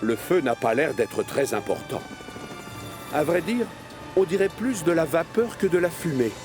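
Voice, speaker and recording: A man's voice: a little high, lively intonation, normal speed. A man narrating a scripted children's story as the storyteller of a cartoon. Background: music.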